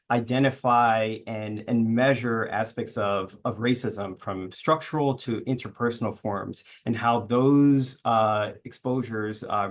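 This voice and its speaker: A man from New York City introducing himself as a panelist on an online webinar, pausing often as he speaks. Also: fairly low pitch. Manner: hesitant, many uh fillers and restarts. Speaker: panelist, public health professor.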